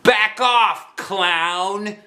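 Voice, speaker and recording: A man's voice: high-pitched, drawn-out words, sharp tone, pitched above the performer's own voice, full character voice. A man voicing a dramatic, stubborn young schoolgirl in a children's storybook, with emphatic, childlike delivery. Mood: alarmed. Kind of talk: children's storybook read aloud.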